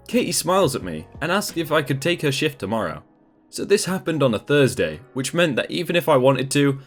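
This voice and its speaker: A man narrating a read-aloud online story in a scripted, expressive manner. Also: mid-range voice. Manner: lively, expressive reading. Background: faint background music.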